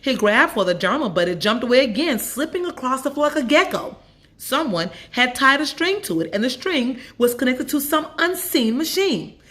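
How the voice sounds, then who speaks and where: A woman's voice: sits high, sing-song, moderate pace, fairly bright tone. A woman storyteller narrating a children's story from a script.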